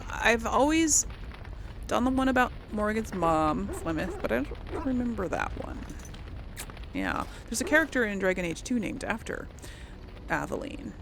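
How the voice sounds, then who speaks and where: A woman's voice: on the high side, vocal fry, fairly bright tone, slight background noise. A woman hosting a gaming video, giving casual commentary and lightly role-playing dialogue. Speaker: gamer, video host.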